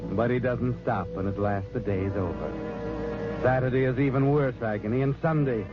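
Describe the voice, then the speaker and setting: A man's voice: medium pitch, moderate pace, natural voice. Casting: male actor as insinuating suspense narrator, 1940s radio thriller, heavy music behind, dull vintage sound. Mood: ominous. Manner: second-person, insinuating, suspenseful. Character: ominous male narrator needling the guilty hero. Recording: muffled old radio recording with music.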